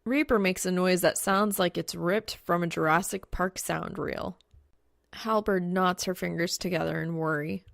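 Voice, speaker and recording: A woman reading fiction aloud as an audiobook narrator, with a wry, expressive delivery full of casual slang. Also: lowish voice, moderate pace, vocal fry, dry recording, studio quality. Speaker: audiobook reader of fan fiction. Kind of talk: scripted story narration.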